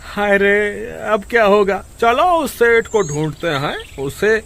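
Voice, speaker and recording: Man acting high-pitched, whiny, panicky village crook. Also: expressive, takes it slowly, a bit bright, heavily acted. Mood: panicky, fretful. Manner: whiny, exclaiming, pleading. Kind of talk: Hindi moral-story cartoon voice acting.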